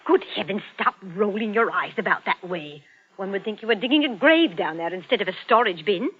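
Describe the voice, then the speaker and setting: An actress playing a domineering, scornful wife in an old-time radio drama, with an imperious, scolding manner. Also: female voice, mid-range voice, lively intonation, brisk, breathy edge. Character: bossy, nagging middle-class wife, scornful and domineering. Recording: muffled old radio recording.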